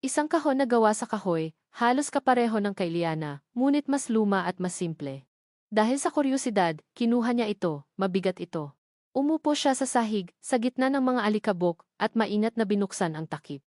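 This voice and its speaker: A woman reads a scripted dramatic story as its narrator. Her pitch sits in the middle range for a woman, and the sound is dry and close, free of room echo. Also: animated, fairly quick, a little mellow.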